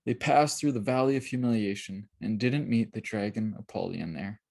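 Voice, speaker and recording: An author reading a children's book aloud as scripted narration, in a dramatic, expressive storytelling manner. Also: medium pitch, moderate pace, creaky.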